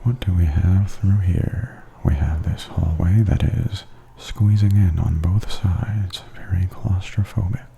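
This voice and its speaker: A man giving softly spoken, gently wry ASMR commentary as a tour guide, in a hushed, breathy half-whisper. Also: low voice, slow, few pauses, slight background noise. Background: theme park haunted attraction ambience.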